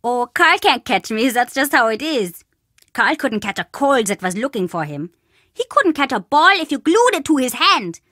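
A woman's voice: high-pitched, very animated, moderate pace, sharp tone, dry recording, clean studio sound, full character voice. A woman voicing a mischievous, imaginative, chatty young boy in a video game, with cheeky, dramatic bluster. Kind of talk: video game character dialogue.

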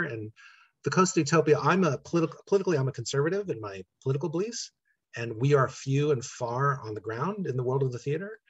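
A middle-aged American man with a slightly husky voice, answering interview questions in a thoughtful, halting, conversational way. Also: mid-range voice, dull audio. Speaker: playwright being interviewed. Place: living room at home, indoors. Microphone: computer mic on a video call.

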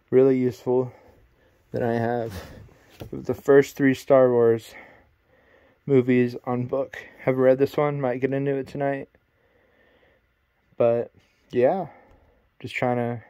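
Young male vlogger, slow unhurried delivery, mid-pitched, nervous and joking, cold and sleepy in a cabin. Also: warm tone, no echo. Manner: nervous, self-deprecating, talks slowly. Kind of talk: casual vlog to camera. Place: abandoned cabin at night, cold.

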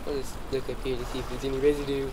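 A man playing a deadpan male hotel clerk in a game machinima, dry and matter-of-fact. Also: medium pitch, rapid-fire, crowd noise, natural voice, rough recording. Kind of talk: amateur game machinima acting.

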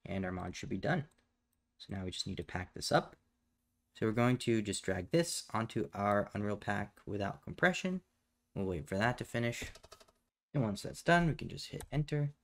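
A man hosting a step-by-step software tutorial in a casual, plainspoken way, recorded indoors at a computer. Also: on the low side, animated, moderate pace, gravelly. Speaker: tutorial host. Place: indoors at a computer, voice over screen capture.

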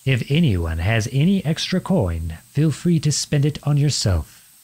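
A man acting a charming, teasing young outlawed prince in an ASMR-style romance roleplay, with a husky voice and a courtly, playful manner. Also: mid-range voice, sing-song, unhurried, a little mellow. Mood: brisk, commanding. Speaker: audio roleplay performer.

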